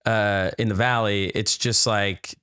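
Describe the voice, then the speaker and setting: Relaxed rambling young man, low voice. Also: measured pace, clean tone, no room sound, studio quality. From United States. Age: 20s. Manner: relaxed, rambling, many fillers and restarts. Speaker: podcast guest, filmmaker. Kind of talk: casual chat.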